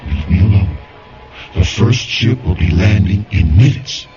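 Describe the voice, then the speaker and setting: A bitter, menacing man in an alien body for an old radio drama. He speaks low and slowly, giving threatening commands in muffled sound over loud music. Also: expressive. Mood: menacing rage. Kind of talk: vintage sci-fi radio drama acting.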